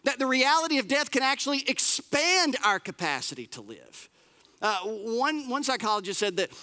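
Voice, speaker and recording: A man, preaching a sermon as pastor, lively and emphatic with repeated phrases and casual asides. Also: very high voice, long reverb tail. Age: 40s.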